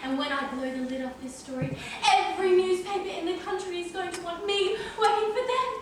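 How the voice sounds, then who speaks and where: A woman's voice: extremely high pitch, brisk. A young woman playing an eager, ambitious, bossy amateur reporter in a college stage play. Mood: excited, boastful. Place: college stage, recorded from the audience. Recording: echoey hall, distant mic, faint noise.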